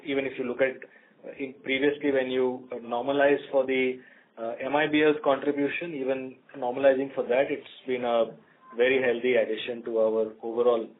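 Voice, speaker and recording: A man with an Indian accent answering analysts as a company executive, speaking off the cuff in a halting way and repeating words. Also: mid-range voice, flat delivery, slight background noise. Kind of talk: unscripted earnings call answers. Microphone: telephone conference call. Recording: narrow, tinny phone conference line.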